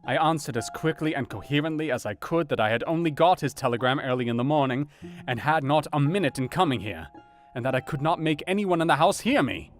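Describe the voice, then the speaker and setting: A man's voice: a little high, animated, a little fast, lightly acted. An actor playing an earnest, anxious doctor, narrating his diary in a grave, brooding, theatrical manner for an audio drama. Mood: tense urgency. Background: plucked guitar music.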